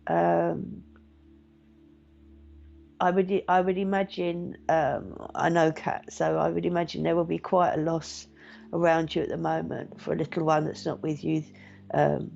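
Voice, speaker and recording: A middle-aged woman talks in a gentle, chatty way, reassuring listeners and reading out their comments with plenty of ums. Her voice sits lowish, with a gravelly fry and a soft, mellow tone. The sound is dull and lo-fi, like a home live stream. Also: flat delivery, a little slow, a little hiss or hum. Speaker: meditation teacher and psychic medium.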